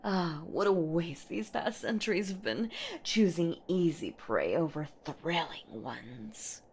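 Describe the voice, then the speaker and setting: Slow, low, creaky monster woman, played by a woman. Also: animated, a little mellow, a little hiss or hum. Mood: exhilarated, predatory. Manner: theatrical, teasing, slow, often dropping to a whisper. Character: menacing, playful centuries-old female boogeywoman monster hunting her prey. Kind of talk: horror audio roleplay, addressed to the listener.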